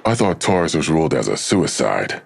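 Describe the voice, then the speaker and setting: An actor playing a terse, brooding police detective who questions everything in an audio drama, with a gravelly voice. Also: male voice, rumbling low, sing-song, normal speed, full character voice. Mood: probing.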